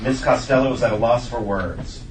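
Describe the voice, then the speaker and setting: A man's voice: mid-range voice, moderate pace. A man reading stage directions as scripted narration at a live comedy table read. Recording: echoey room, distant mic, background noise.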